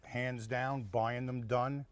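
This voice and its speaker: A man's voice: medium pitch, little pitch movement, slow pace. A man speaking casually as a car owner answering questions about his vehicle. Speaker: car owner being interviewed. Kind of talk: casual chat.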